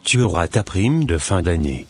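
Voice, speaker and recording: A man's voice: sits low, very animated, normal speed, faint music behind. A man playing formal, self-assured men in a cartoon, with a stiff scripted delivery. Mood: approving. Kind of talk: animated cartoon dialogue.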